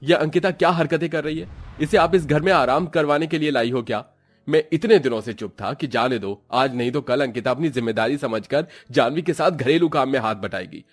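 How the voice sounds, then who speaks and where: A man's voice: mid-range voice, fairly quick, soft background music, lightly acted. A man voicing an indignant, confrontational husband defending his wife in a moral cartoon story. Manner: stern, confrontational.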